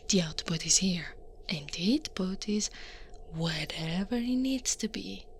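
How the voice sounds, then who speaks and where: An Italian woman playing a tall, teasing Krampus monster woman in a soft, breathy ASMR roleplay, often dropping into a whisper. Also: medium pitch, expressive, unhurried, a little hiss or hum. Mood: explaining, coaxing. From Italy. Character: eight-foot horned furry Krampus woman, teasing, coaxing, in control.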